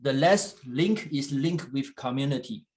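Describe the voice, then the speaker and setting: A man preaching in English, which is not his first language, pausing often with fillers and restarts while an interpreter translates. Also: mid-range voice, animated, darkish tone. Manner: halting, with frequent fillers and restarts. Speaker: guest church leader. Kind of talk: sermon in English with live interpretation.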